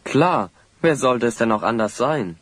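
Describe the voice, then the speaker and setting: An actor playing a sensible, quick-witted adventurer in a children's audio drama. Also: male voice, mid-range voice, animated, a bit bright, slightly rough, no echo. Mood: curious, knowing.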